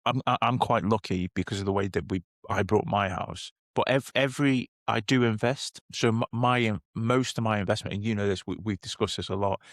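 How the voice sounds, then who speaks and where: A man's voice: lowish voice, dry recording, studio quality. A man, co-hosting a casual chat and often repeating and correcting himself as he goes. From northern England. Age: late 30s. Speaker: podcast co-host.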